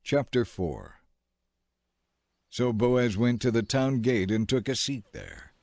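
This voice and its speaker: A man narrating a dramatized scripture audiobook in a measured, scripted storytelling style. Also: medium pitch, a little mellow, no echo, studio quality. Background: music.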